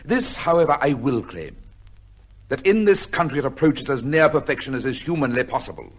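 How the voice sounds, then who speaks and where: Radio drama judge: husky male, lowish pitch, formal and severe, sweeping intonation. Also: big pitch swings. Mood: pompous, certain. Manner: formal, measured, pompous. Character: proud, severe English high court judge with a secret life. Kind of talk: old-time radio drama acting, with first-person narration.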